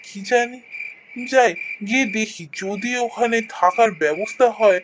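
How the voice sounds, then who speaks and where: A man's voice: high voice, a little slow, background noise. A man voicing a good-natured, worried young village cowherd in a cartoon, musing expressively with a Bengali accent. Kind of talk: cartoon voice acting, thinking aloud.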